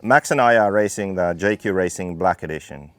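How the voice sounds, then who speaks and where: A man in his thirties from Finland, a racing team owner calmly explaining his car in technical detail. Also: deep voice, a little fast. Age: 30s. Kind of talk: interview talk about his car.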